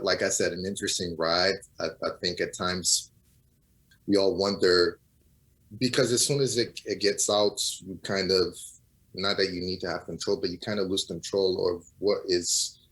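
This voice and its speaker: Low-voiced middle-aged man from Portugal. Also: steady tone, unhurried, fairly bright tone, poor audio. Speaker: interview guest, DJ. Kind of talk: reflective interview answers.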